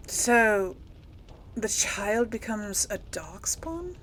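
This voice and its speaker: Woman doing casual rpg commentary, medium pitch, unhurried, kinda hesitant, bit of background noise. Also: expressive, fairly bright tone. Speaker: video game player and series host. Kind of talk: casual role-play game commentary.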